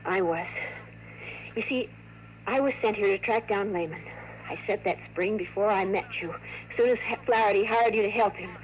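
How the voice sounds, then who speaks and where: A woman's voice: medium pitch, moderate pace, close to an everyday voice. Lady playing earnest lovestruck time agent, anguished, old 50s radio drama, dull hissy sound. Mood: resigned, confessing. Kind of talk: 1950s radio drama acting. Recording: muffled, noisy 1950s radio recording.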